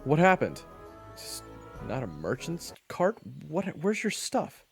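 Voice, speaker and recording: A man's voice: fairly high pitch, huge pitch swings, slow, in a large hall, soft background music, full character voice. A man playing a wry, sarcastic young adventurer who also narrates a fantasy audio roleplay. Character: young male adventurer hero, wry, irritable trainee and narrator.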